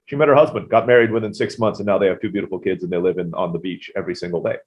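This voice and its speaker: Podcast guest: man, American accent, quick chatty storyteller, low voice, muffled lo-fi sound. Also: fairly even intonation. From Philippines-born, raised in Turkey. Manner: rapid, chatty storyteller with asides. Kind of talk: casual interview storytelling. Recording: dull lo-fi audio.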